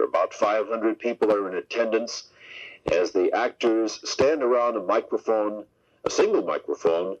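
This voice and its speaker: A slightly muffled radio tape of an American man hosting a program. His voice is fairly low, and he presents and asks questions as an interviewer. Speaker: radio show host and interviewer. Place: radio broadcast.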